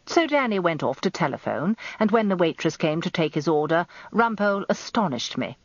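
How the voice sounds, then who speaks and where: A woman's voice: lowish voice, sing-song, brisk, no echo, lo-fi sound. An older woman with an English accent giving scripted first-person dramatic narration as a barrister's wife, confiding and wry.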